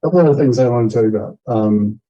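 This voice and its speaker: A man's voice: mid-range voice, fast, warm tone, lo-fi sound. A man chairing an informal community meeting, giving plain-spoken, explanatory announcements into a microphone. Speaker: meeting chair, board speaker.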